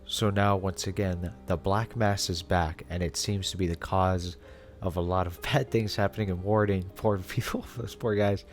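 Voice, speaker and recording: A man's voice: sits low, steady tone, normal speed, over music. A man hosting a casual analysis video, talking conversationally with wry asides. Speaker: host.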